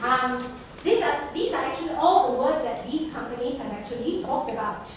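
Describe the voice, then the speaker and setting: A woman giving a rushed conference presentation on a handheld microphone, hurrying and apologizing with laughing asides. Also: sits high, expressive. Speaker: conference speaker. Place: conference hall. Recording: echoey hall from far off, noisy.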